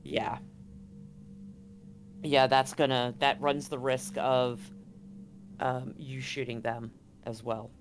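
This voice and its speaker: A man's voice: medium pitch, little pitch movement, slow pace, pauses often, slight background noise. A man talking casually as the game master of a tabletop roleplaying game, describing scenes and rules. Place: live online game stream.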